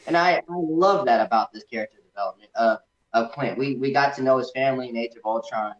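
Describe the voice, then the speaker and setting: A man's voice: mid-range voice, fast talker. Echoey, muffled man chatting about films. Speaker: guest co-reviewer on a movie discussion. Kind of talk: casual chat. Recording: echoey room, dull lo-fi sound.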